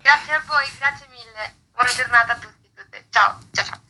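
A woman's voice: on the high side, brisk, pushed and bright. A woman, an actress, speaking informally in a radio interview over the phone, often checking in with a short question tag. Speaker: stage actress being interviewed. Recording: noisy phone line.

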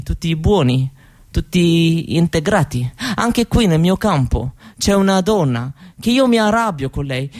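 A woman's voice: mid-range voice, a little mellow, natural voice. An actress playing a proud Roma mother from Romania in a conversational stage monologue, with an Eastern European accent and broken grammar. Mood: earnest, hospitable. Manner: broken foreign-speaker grammar, conversational, rhetorical tags. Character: proud, cheerful Roma woman from Romania, mother, chatting hospitably. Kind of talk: theatrical monologue drawn from interviews.

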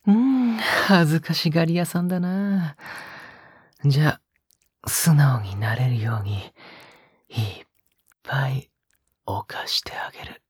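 Male actor as teasing male lover, heavily husky and breathy, soft coaxing whispers, tender but dominant, romantic audio drama, dry close sound. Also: fairly high pitch, takes it slowly, long pauses, no echo, heavily acted.

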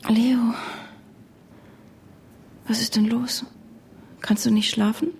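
A woman's voice: fairly high pitch, flat delivery, slow, creaky, slight background noise. An actress playing a troubled, mistrustful wife in a naturalistic radio drama, restrained and intimate in her delivery. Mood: tender, hushed.

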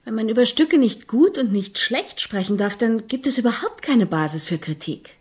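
An actress playing an earnest, persistent young composition student in a German radio drama, questioning politely but insistently. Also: female voice, fairly high pitch, expressive, normal speed, a little mellow, natural voice.

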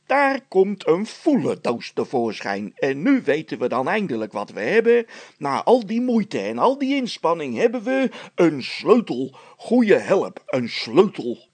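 A man's voice: a little high, dry recording, pitched above the performer's own voice, exaggerated voice. A man voicing an exasperated, wisecracking tinkerer in a children's story, grumbling in a dry, teasing manner.

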